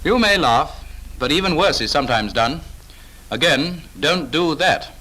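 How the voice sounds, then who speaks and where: A man's voice: fairly high pitch, lively intonation, a little slow, bright, forceful tone, poor audio. A British man narrating a 1940s instructional film in a clipped, authoritative, instructive manner, on an old film soundtrack.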